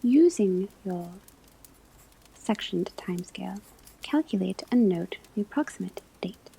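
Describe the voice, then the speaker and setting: A woman playing a gentle witch-school divination teacher in an ASMR roleplay, whispering breathily as she gives measured instruction. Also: mid-range voice, animated, vocal fry, husky, exaggerated voice, rough recording. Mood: calm, instructive. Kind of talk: ASMR classroom roleplay lecture.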